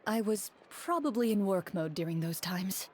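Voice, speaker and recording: A woman voicing a composed, formal female duelist who speaks in a calm, measured way. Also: medium pitch, a little hiss or hum, lightly acted.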